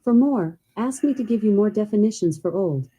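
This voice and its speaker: A synthetic woman's voice of a smart speaker assistant, reading a definition in a smooth, even delivery, heard from a speaker away from the mic. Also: mid-range voice, moderate pace.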